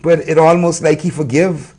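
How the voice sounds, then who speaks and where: A man's voice: on the high side, steady tone, moderate pace, mellow, husky. A man hosting a talk show, speaking earnestly and murmuring agreement as his guest talks. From Bahamas. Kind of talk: casual interview conversation.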